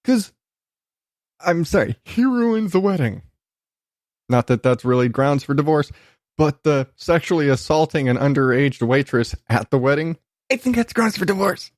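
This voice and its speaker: A man's voice: a little high, animated, moderate pace, no echo, studio quality. A man hosting a podcast in a casual, ranting comic monologue, exasperated and emphatic, with plenty of swearing. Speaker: podcast host.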